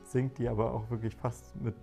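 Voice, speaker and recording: A man in his forties speaks at an ordinary middle pitch, easygoing and reflective. He pauses with fillers and restarts his sentences now and then. Soft music plays faintly beneath him. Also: little pitch movement, gravelly. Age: 40s. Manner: thoughtful, with many fillers and restarts. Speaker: interviewee, father on a shared farm. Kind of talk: relaxed conversational interview. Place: bright living room indoors. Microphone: lapel mic.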